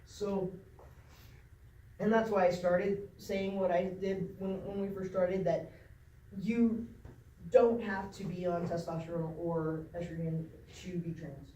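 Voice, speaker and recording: Slow-talking man in an echoey room. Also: high-pitched, level tone, warm tone, distant mic, slight background noise. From Nebraska, US. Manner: halting, many ums and restarts. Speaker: speaker sharing his story with young people. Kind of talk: informal talk to a group.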